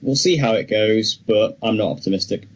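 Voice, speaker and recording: A man's voice: lowish voice, normal speed. A man co-hosting a podcast in a casual chat style, talking through entertainment news. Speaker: podcast co-host. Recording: clear background noise.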